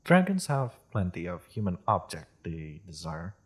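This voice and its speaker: Male actor as wry half-dragon broker, low husky voice, formal and polite, fantasy roleplay. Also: very animated, full character voice. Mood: wry, explanatory. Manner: formal, polite, measured, amused. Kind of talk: scripted fantasy audio roleplay addressed to listener.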